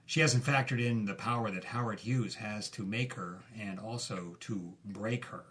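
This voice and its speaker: A man's voice: fairly low pitch, moderate pace. A man co-hosting a podcast, telling film history in a conversational style. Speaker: podcast co-host.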